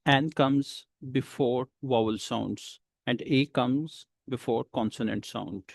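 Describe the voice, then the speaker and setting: Slow, gravelly-voiced male teacher. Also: mid-range voice, no room sound, studio quality. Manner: slow, patient explaining with many pauses. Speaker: English teacher. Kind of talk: beginner English lesson.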